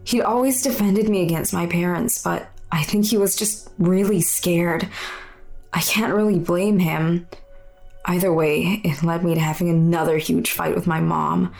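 A young, weary non-binary narrator confides in an audio drama monologue. The voice sits low with a frequent gravelly fry, conversational and candid. Music plays clearly underneath. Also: female voice, steady tone, a little slow, darkish tone. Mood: candid, wistful. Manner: plain, conversational first-person storytelling. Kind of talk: confessional monologue in audio fiction.

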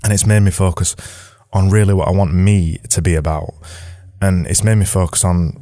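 A man hosting a podcast as a casual audio diary from his attic at home, his voice slightly raspy, rambling and cutting in on himself. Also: low voice, fairly even intonation, normal speed, dark tone, dry recording, clean studio sound. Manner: rambling, self-interrupting. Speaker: podcast host.

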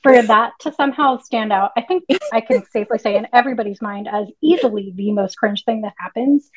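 A woman's voice: on the high side, fairly quick, dry recording, poor audio. A woman co-hosting a casual fan discussion podcast, thinking aloud in long, analytical, wordy stretches. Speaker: podcast co-host.

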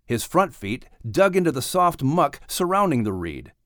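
A man reading a children's story as an audiobook narrator, with expressive, dramatic delivery. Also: a little high, moderate pace, no echo, clean studio sound.

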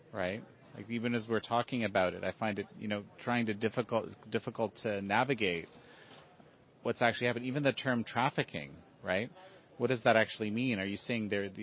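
A man with a fairly low voice asks his questions haltingly, restarting and searching for the right words. The recording sounds dull and lo-fi. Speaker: interviewer. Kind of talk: interview questions.

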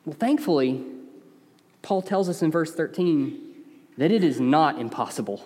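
A man with an East Tennessee accent preaches in a church sanctuary. His voice sits high for a man, and he talks earnestly, as if in conversation, climbing in emphasis on key points. A long hall reverb trails every phrase. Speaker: pastor. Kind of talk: sermon.

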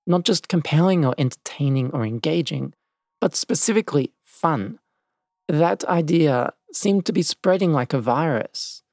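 A man's voice: a little high, a little mellow, no room sound, studio quality. A man reading scripted documentary narration in the role of narrator.

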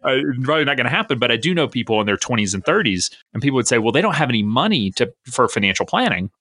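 A man's voice: medium pitch, very animated, racing, bright, forceful tone, husky, dry recording. A man in his thirties from the American South with a faint Southern accent, a guest telling his career story energetically and laughing easily. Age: 30s. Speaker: guest, company founder. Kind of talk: interview storytelling.

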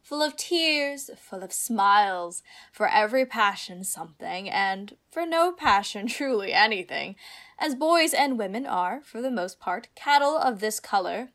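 A woman acting in a Shakespearean radio play as a witty young heroine disguised as a teasing youth, with a deliberate delivery. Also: medium pitch, animated, fairly bright tone. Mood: mocking, playful.

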